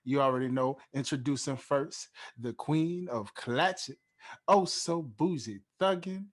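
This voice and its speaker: A man hosting a casual podcast, talking in a smooth, laid-back radio-style way and often adding "you know what I'm saying.". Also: mid-range voice, measured pace. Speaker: podcast host. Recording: music playing underneath.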